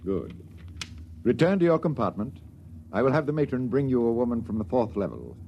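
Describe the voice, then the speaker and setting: A man's voice: mid-range voice, very animated, a little fast, gravelly, lo-fi sound, slight background noise. An actor playing a stern male priest of a starship cult, giving formal ritual commands in 1950s radio drama style. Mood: ceremonial.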